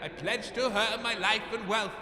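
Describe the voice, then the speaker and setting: A man's voice: sits high, fairly even intonation, normal speed, pushed and bright, reverberant, in a large hall. A man playing a scheming, self-important showman medium in a comic audio drama, theatrical in delivery. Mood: mock-mournful. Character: scheming, vain showman medium staging fake hauntings.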